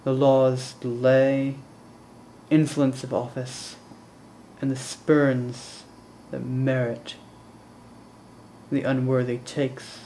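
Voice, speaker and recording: Young guy doing an anguished shakespeare prince, slow dramatic pauses, mellow, really echoey room. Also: mid-range voice, monotone. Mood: weary brooding. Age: young adult. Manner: slow, deliberate, dramatic delivery with heavy pauses. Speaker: student actor performing monologues. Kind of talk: theatrical Shakespearean monologue. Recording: roomy echo, distant mic, faint noise.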